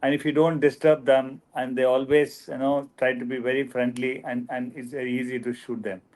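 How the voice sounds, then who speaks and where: A man speaking on an online panel about filming wildlife, halting and repeating words as he searches for them. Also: medium pitch, little pitch movement, darkish tone. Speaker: guest speaker, wildlife filmmaker. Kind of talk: answering questions on a panel.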